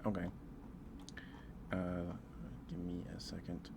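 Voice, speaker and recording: A soft-spoken man chatting casually and intimately with viewers on an ASMR livestream. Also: sits low, completely flat delivery, slow, vocal fry, background noise.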